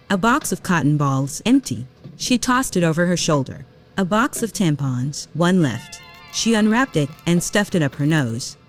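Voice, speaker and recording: A woman reading scripted story narration for an audio drama. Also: low voice.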